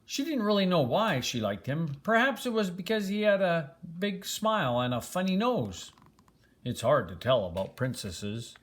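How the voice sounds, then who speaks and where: An older man reading a children's storybook aloud in an expressive, grandfatherly way, stressing and stretching words. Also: fairly high pitch, normal speed.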